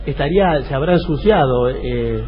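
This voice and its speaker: A man's voice: mid-range voice, expressive, moderate pace, music in the background. A man with an Argentine Rioplatense accent co-hosting a radio comedy, explaining and correcting earnestly and a bit hesitantly in improvised dialogue. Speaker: radio comedy show co-host. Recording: dull, noisy radio recording.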